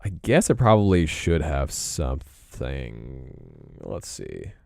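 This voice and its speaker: A young man talks loosely to his stream while he works, drifting from one thought to the next and clearing his throat now and then. His voice sits low and comes through smooth and clean. The sound is dry and close, with no room around it. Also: huge pitch swings, slow pace, darkish tone, no room sound. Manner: laid-back, rambling, frequent throat clearing. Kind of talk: casual live-stream chat while drawing.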